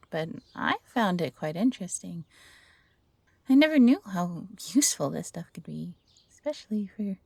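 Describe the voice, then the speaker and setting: A woman playing a gentle, eager fox-hybrid former servant in an intimate roleplay audio drama, soft-spoken and earnest with a breathy, slightly husky voice. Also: on the low side, dry recording, heavily acted.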